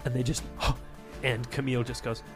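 A young man narrating a tabletop game as game master, telling the story with animated, laughing delivery. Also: mid-range voice, moderate pace, over music.

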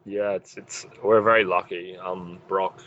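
A musician with a husky voice, answering interview questions in a rambling way, full of "like". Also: male voice, deep voice, little pitch movement, unhurried, fairly bright tone, dull audio, slight background noise. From Australia. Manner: rambling, heavy use of "like". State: husky from late rehearsal. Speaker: musician in a band.

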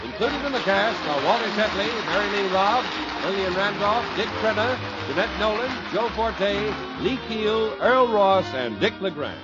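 A man's voice: sits high, lively intonation, normal speed, hardly any pauses. An American man announcing and reading scripted ads in an old-time radio style. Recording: muffled vintage radio, faint music.

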